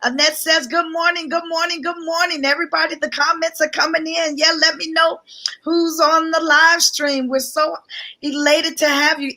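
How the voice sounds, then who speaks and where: A woman who sounds a little hoarse, speaking energetically on a live stream, greeting viewers and repeating herself with excitement. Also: high voice. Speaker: guest business coach. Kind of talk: live-stream promotional talk.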